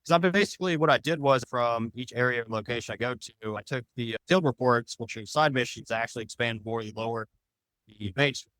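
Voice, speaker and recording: A man's voice: mid-range voice, fast, a bit bright, dry recording, poor audio. A man talking casually as a podcast guest, rambling along in loosely worded sentences. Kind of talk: casual interview chat.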